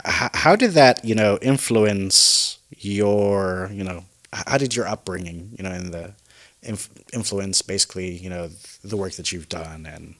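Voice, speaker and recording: A man's voice: sits low, a bit bright. A man hosting a casual interview, asking rambling questions full of restarts and fillers. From Saudi Arabia and the United States. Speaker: talk show host. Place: in Riyadh.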